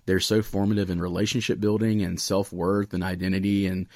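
Deep-voiced young American man, casual podcast talk. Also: level tone, a little fast, darkish tone, dry recording, studio quality. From Raleigh area, United States. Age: late 20s. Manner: informal, earnest, peppered with "like" and "you know". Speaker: podcast host, student pastor.

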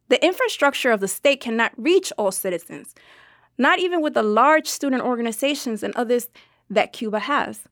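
A woman with a fairly high voice delivers a translated interview answer in a measured, explanatory manner. The sound is close and dry, with hardly any room on it. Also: dry recording. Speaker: voice-over translator for an interviewed scholar.

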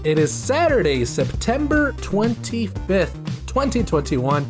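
A man's voice: high voice, slow pace, over music. A man with a Miami accent hosting a casual video game podcast, rambling and joking with his co-hosts. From Miami, United States. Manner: joking, rambling, lively delivery. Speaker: podcast host.

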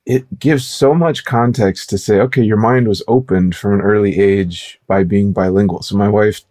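A man co-hosting a podcast, interviewing a guest in a casual, rambling way with quick asides. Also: fairly low pitch, mellow.

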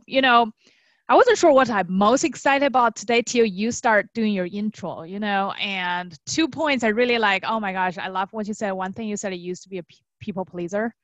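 A young woman who grew up in China, talking casually as an interview guest in an animated, conversational way, often checking in with tag questions. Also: mid-range voice, moderate pace, no room sound, muffled recording. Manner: animated storytelling, frequent tag questions, quotes imagined clients. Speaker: guest, dog trainer.

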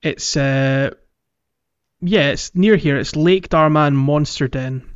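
A man's voice: fairly high pitch, slow, smooth, no room sound. A man co-hosting a casual gaming podcast, phrasing things hesitantly and circling back over his words. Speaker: podcast co-host. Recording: dull, lo-fi, music behind.

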